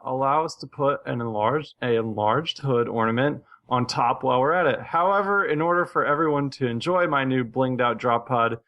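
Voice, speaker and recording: A man's voice: medium pitch, sharp tone. A man co-hosting a gaming podcast in casual, informal talk. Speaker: podcast co-host.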